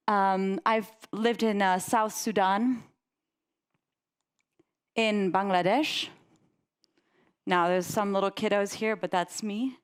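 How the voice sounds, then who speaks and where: A young woman from the Netherlands giving a presentation, telling personal stories in an animated way and laughing often. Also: mid-range voice, clean tone. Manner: animated, laughs often, lively voices in anecdotes.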